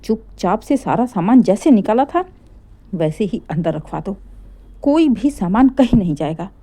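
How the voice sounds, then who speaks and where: A woman's voice: medium pitch, animated, moderate pace, mellow. A woman voicing a proud older mother-in-law in a family story, bossy, defensive and scolding. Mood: flustered scolding.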